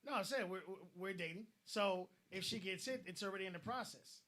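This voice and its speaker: High, bright man, blunt advice-giver, repeats himself as he gets going. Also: fairly quick. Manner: blunt, advice-giving, repeats words as he starts a thought. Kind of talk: casual online discussion.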